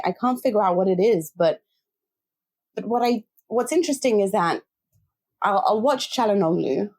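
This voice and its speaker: A woman's voice: medium pitch, dark tone. A woman co-hosting a football podcast, chatting about players and weighing options aloud in a casual way. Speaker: podcast co-host. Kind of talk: casual football analysis chat.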